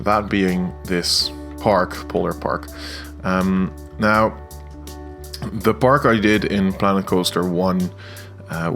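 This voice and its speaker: A man's voice: low-pitched, a bit bright. A young Dutch man with a Dutch accent, talking casually over his gameplay video, hesitant and full of filler sounds. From Netherlands. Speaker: video game creator. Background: faint guitar music.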